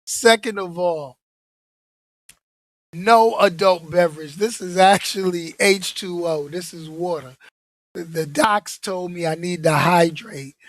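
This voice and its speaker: A man hosting his podcast in casual chat, his voice hoarse from being under the weather, joking and repeating himself. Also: high-pitched, dry recording. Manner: laid-back, repeats himself, joking asides. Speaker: podcast host.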